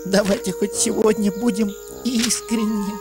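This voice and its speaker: Someone acting sad sensitive cartoon sheep poet boy, mournful, talks real slow, music in the background. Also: mid-range voice, little pitch movement, close to an everyday voice. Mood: downcast. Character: gloomy sensitive young male ram poet.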